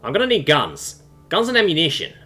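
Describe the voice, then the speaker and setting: A man's voice: fairly high pitch, wildly swooping pitch, brisk, sharp tone, faint music behind, voice pushed up, exaggerated voice. A man voicing a brazen, half-crazy spaceship owner with a blunt, bargaining manner in an audiobook.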